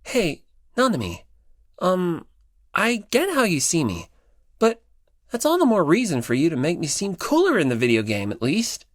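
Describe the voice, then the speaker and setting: A woman voicing casual high school boys, teasing or awkward, in an audiobook. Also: wildly swooping pitch, moderate pace, no room sound, clean studio sound. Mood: casual, hesitant. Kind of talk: audiobook character acting.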